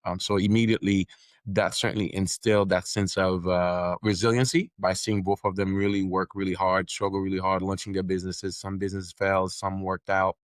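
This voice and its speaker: Low-voiced Haitian man, confident. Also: flat delivery, brisk, no echo, clean studio sound. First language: Haitian Creole. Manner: confident, businesslike, repeats words while building a point. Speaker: podcast network founder, guest. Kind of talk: casual interview talk.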